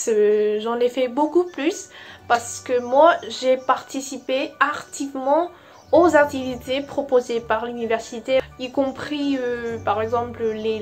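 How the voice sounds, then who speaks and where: A young woman with a clearly high voice and a Vietnamese accent talks at a slow, deliberate pace. She stops and restarts often while she searches for words. Soft music plays behind her. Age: young adult. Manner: slow, careful, with frequent hesitations. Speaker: vlogger. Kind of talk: casual talk to camera.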